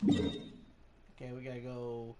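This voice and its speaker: A man's voice: mid-range voice, completely flat delivery, takes it slowly. A man giving casual, offhand commentary as he plays a video game. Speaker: gameplay streamer. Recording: loud game music behind voice.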